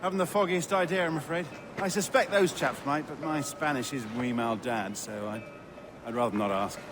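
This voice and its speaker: An actor playing a mild, polite gentleman merchant who speaks hesitantly, in a dramatic video game. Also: on the high side, normal speed, background noise, close to an everyday voice. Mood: mild, apologetic. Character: mild, timid gentleman merchant, dutiful husband and father.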